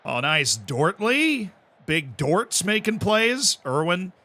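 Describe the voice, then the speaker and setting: An American man live-streaming a game, commenting excitedly, shouting at big moments and cracking jokes in between. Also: high-pitched, slow. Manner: excitable, shouts at goals, jokey asides.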